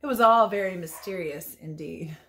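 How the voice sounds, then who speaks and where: A woman reading a picture book aloud as a teacher would, expressive and playful, with chatty asides about the pictures. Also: lowish voice, moderate pace, a little hiss or hum. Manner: playful, chatty, commenting on the pictures as she goes. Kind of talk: storybook read-aloud with casual asides.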